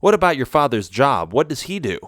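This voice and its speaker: A man's voice: mid-range voice, big pitch swings, fairly quick, fairly bright tone, no room sound, clean studio sound, pitched above the performer's own voice. A man voicing a haughty, condescending older woman, the boyfriend's mother, in a read-aloud romance story. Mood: probing. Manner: cold, condescending.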